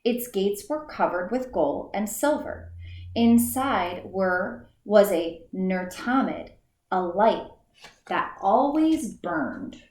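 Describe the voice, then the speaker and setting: Slow, deliberate woman teacher reading aloud. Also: mid-range voice, expressive, darkish tone. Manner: slow, careful read-aloud with small slips and restarts. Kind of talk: reading a children's book aloud. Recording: echoey room.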